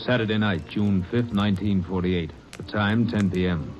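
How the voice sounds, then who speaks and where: A man's voice: lowish voice, normal speed. A man narrating a 1940s radio crime drama in a terse, matter-of-fact way. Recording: dull old radio broadcast with music.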